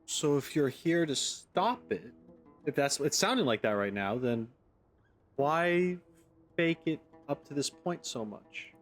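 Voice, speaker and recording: A man's voice: a little high, very animated, a little slow, soft background music. A man reacting casually as he streams a video game playthrough. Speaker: gaming streamer.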